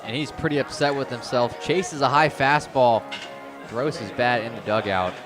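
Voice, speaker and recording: A man's voice: medium pitch, moderate pace, over music. A man giving conversational, explanatory color commentary on a live baseball radio broadcast. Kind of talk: live radio sports analysis.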